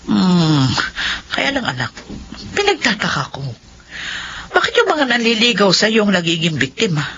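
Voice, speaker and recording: Slightly noisy, muffled radio drama audio. a suspicious, worried Filipino village mother in middle age, her tone forceful and animated. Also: mid-range voice, moderate pace, long pauses. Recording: muffled audio, faint noise.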